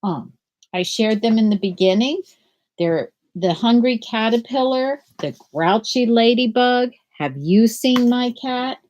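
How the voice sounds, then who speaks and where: An older woman, a school principal, reads aloud gently and slowly to young children from a small room at home on a laptop webcam. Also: fairly high pitch. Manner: slow, gentle and animated, like reading to young children. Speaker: school principal reading to students. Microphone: laptop webcam at desk.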